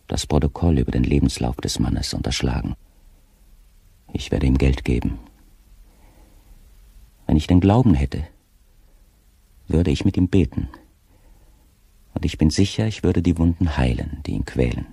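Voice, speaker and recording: An actor playing a tormented, guilt-ridden official slipping into madness, reading his diary in a brooding, deliberate way in an audio drama. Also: extremely low pitch, creaky, warm tone, slightly rough, no room sound, heavily acted. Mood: brooding compassion.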